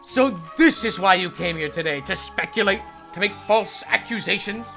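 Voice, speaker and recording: A man's voice: high voice, normal speed, gravelly, over music. A man voicing a pompous, vain middle-aged radio psychiatrist in a comic fandub, with haughty, theatrical, ornate diction. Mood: indignant.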